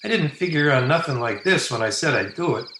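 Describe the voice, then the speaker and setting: Male actor as cheeky kid hustler for radio comedy: bright, very animated, slangy, roomy sound. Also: mid-range voice, brisk. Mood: flustered. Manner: folksy, ungrammatical kid talk. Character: cheeky, slangy young boy hustling for money. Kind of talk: old-time radio comedy acting. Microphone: video call. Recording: echoey, noisy video-call recording.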